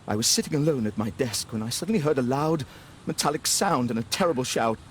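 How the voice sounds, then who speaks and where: An actor playing an earnest, upper-class Victorian club gentleman in a video game, British, hesitant and formal. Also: mid-range voice, expressive, fast, slight background noise, close to an everyday voice. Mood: shaken, insistent. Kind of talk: scripted video game acting.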